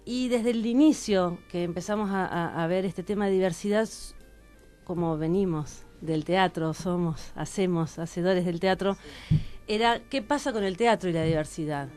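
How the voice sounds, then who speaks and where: A woman's voice: lowish voice, moderate pace, darkish tone, soft background music. A woman with an Argentine accent, a radio columnist introducing guests in an informal, affectionate conversational style.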